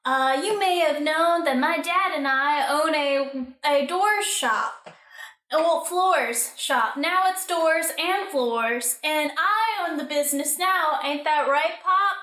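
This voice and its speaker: Woman playing proud grown-up boy, extremely high voice, sharp, boastful, spoof radio ad, roomy. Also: slow, exaggerated voice. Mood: chirpy, boastful. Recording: echoey room, distant from the mic.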